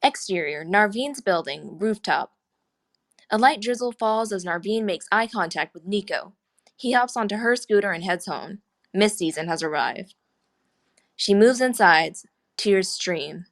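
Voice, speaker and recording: Woman narrating a screenplay, medium pitch. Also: animated, a little slow.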